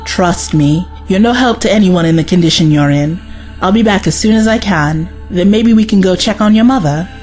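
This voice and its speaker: A woman's voice: lowish voice, normal speed, darkish tone, faint music behind, close to an everyday voice. A young woman playing a brave, caring female companion in a sci-fi audio drama, earnest and direct. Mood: caring, firm.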